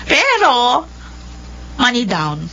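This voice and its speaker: A woman's voice: huge pitch swings, extremely slow, pushed and bright, full character voice. An actress playing a greedy, scheming female cousin who talks in a wheedling, theatrical way. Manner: wheedling, theatrical, pitch swoops. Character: scheming woman cousin, Bel, pushy and greedy. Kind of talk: radio drama acting. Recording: dull lo-fi sound with faint noise.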